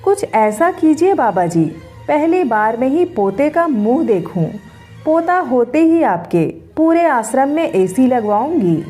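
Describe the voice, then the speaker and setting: A woman as a high-voiced devout mother-in-law. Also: big pitch swings, unhurried, warm tone, husky, faint music behind, heavily acted. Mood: pleading. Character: devout middle-aged mother-in-law, eager and doting.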